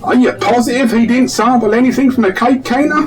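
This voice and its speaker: An actor playing a formal, officious village police constable in a comic radio drama. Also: male voice, very high-pitched, brisk, a crowd in the background. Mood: officious, inquiring.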